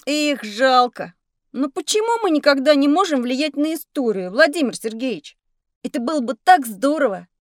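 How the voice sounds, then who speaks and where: A woman voicing an excitable, adventurous schoolboy in an educational audio drama for children. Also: high-pitched, normal speed, no room sound, studio quality. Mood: wistful, boastful. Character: excitable, adventurous schoolboy dreaming of heroic deeds.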